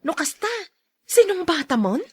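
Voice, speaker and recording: A nosy, teasing female friend played by an actress in a radio drama. She speaks with an Ilocano accent in a very high, swooping voice, recorded dry. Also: big pitch swings, normal speed, dry recording. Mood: curious.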